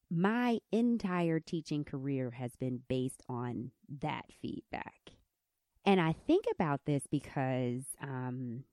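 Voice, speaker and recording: A woman in her thirties with a squeaky country voice, telling a candid personal story as host of her podcast. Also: no room sound, studio quality. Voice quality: squeaky, high voice. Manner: candid, animated storytelling with laughs. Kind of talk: personal reflective podcast monologue.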